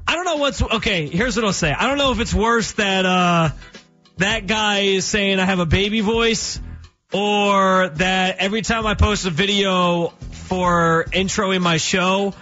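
A man's voice: pushed and bright, dull audio. A young man hosting a sports talk radio show, casual and joking, his voice prone to cracking. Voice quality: voice cracks, high youthful-sounding. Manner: casual, joking, quick opinions. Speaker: fill-in radio host.

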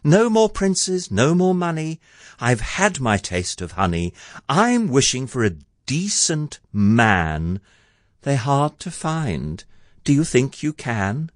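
A man's voice: mid-range voice, very animated, slow, no echo, studio quality, pitched above the performer's own voice. A man voicing a bossy, whining, impatient young woman in a comic rhyming storybook tale, with a dramatic, demanding manner. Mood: wistful, wary.

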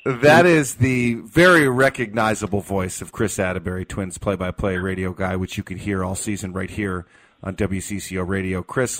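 A man's voice: fairly low pitch, fairly bright tone. An American man hosting live sports radio, interviewing conversationally with an announcer's phrasing. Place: radio studio.

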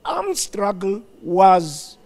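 An older South African man giving reflective interview answers in a deliberate, emphatic, explanatory manner. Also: sits high, very animated, darkish tone. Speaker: studio guest, former radio broadcaster.

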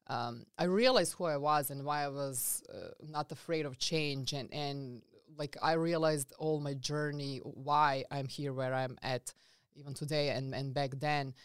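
A woman from Serbia with a Serbian accent, a guest coach telling her story in a casual interview, earnest and halting with many fillers and restarts. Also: low voice, steady tone, smooth, no echo, clean studio sound.

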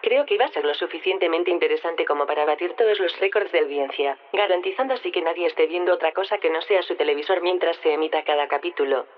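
An actress plays an efficient female artificial intelligence in an audio drama. She talks quickly and precisely, with fluent, factual delivery. The voice comes through a tinny, narrow radio-like channel. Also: fairly low pitch, natural voice. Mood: matter-of-fact. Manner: precise, fluent, matter-of-fact. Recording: tinny phone or radio link.

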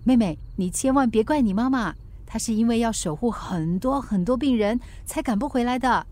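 A woman as a high-voiced, feisty young delivery woman. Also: dark tone, lightly acted. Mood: bright, earnest. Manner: coaxing and earnest, quick to snap back. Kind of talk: radio drama acting.

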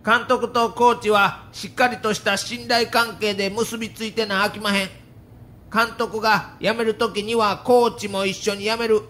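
High-voiced Kansai baseball manager. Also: male voice, little pitch movement, normal speed, bright, forceful tone. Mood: earnest, firm. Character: stubborn, grudge-holding middle-aged baseball manager with Kansai speech. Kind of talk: dramatised quotes in an audio documentary.

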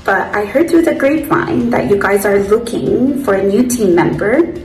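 A woman's voice: medium pitch, lively intonation, moderate pace, over music. A woman from the United States sending in a self-recorded audition entry, bubbly and greeting everyone. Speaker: audition entrant. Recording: echoey self-recorded clip from far off.

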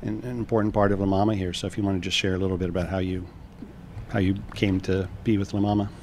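A man interviewing two guests, asking quick, stumbling questions full of restarts. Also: sits low, flat delivery, darkish tone, slight background noise.

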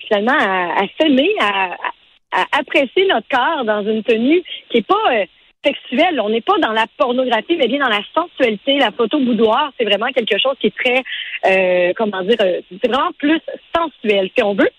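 A woman in her forties with a Quebec French accent, talking as a radio interview guest in a halting, conversational way. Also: on the high side, expressive, a bit bright, slightly rough, no echo, low-quality recording. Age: 40s. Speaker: interview guest, event organizer and matchmaker.

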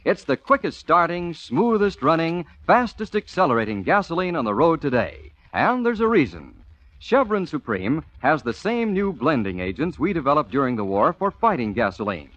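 A man's voice: on the high side, big pitch swings, normal speed, a bit bright, no room sound. A man with an American accent announcing on 1940s radio in an emphatic, polished salesman's delivery. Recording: muffled, dry 1940s radio recording.

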